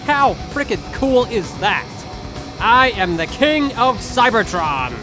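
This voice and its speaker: A man with a high-pitched voice gives playful commentary on a video game. His delivery is theatrical and joking, and music plays behind him. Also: sing-song, slow. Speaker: gaming video host.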